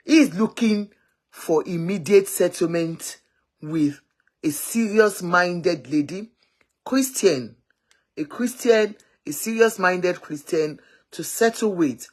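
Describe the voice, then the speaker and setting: A woman's voice: lowish voice, slow. A woman hosting a casual live matchmaking stream, rambling and often correcting herself.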